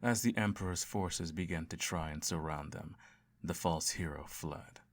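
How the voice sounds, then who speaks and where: A man playing a self-righteous evil emperor and doting father, telling a bedtime story in a measured, grandiose way in an audio roleplay. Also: low-pitched. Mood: solemn storytelling.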